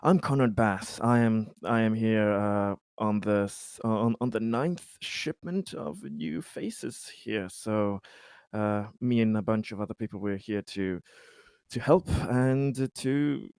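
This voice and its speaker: A man playing a tabletop roleplaying game, improvising in character and out, with halting, stammering delivery. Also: mid-range voice, a little mellow, no room sound, clean studio sound.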